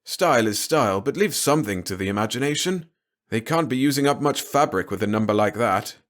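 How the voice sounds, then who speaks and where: Man acting a stuffy middle-aged father, disapproving, blustering, lively pitch, story reading. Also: brisk, natural voice. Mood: stern. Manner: blustering, pompous.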